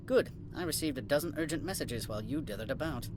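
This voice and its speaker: A man's voice: a little high, expressive, quick pace, background noise, natural voice. A man playing an officious, dismissive corporate administrator in a video game, curt and haughty. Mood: irritated. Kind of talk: video game cutscene dialogue.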